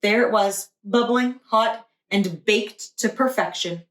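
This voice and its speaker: A woman's voice: mid-range voice, takes it slowly. A woman, a preschool teacher, reading a children's storybook aloud. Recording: echoey room.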